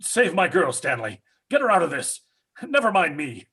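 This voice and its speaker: A man playing a wounded, wry, self-sacrificing father, husky and gasping, in old time radio horror style. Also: on the high side, wildly swooping pitch, normal speed, sharp tone, full character voice. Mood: weak, wry. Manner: gasping, strained, dramatic.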